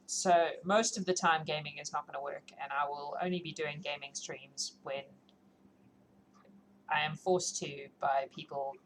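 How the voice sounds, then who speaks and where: Female streamer, lowish voice, informal rambling chat with self-deprecating humour, slightly noisy live audio. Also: steady tone, unhurried. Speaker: livestream host who reads books aloud. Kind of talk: casual livestream chat to viewers. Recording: clearly noisy livestream audio.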